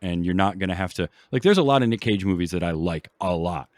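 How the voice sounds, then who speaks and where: A man with a low voice hosts a casual film podcast. He rambles along with enthusiasm, and the sound is close and dry. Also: animated, fast talker, darkish tone, no room sound. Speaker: podcast host.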